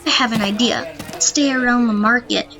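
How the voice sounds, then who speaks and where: A woman playing a clever monk on a secret mission, giving deliberate instructions in an amateur audio play. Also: fairly high pitch, fairly even intonation, music in the background, close to an everyday voice. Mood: plotting.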